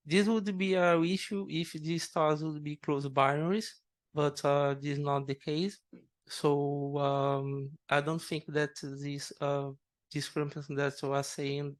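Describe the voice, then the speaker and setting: Smooth, flat-toned man answering questions. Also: a little high, no echo. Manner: hesitant, many fillers and restarts. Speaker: conference speaker answering questions. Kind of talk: academic Q&A.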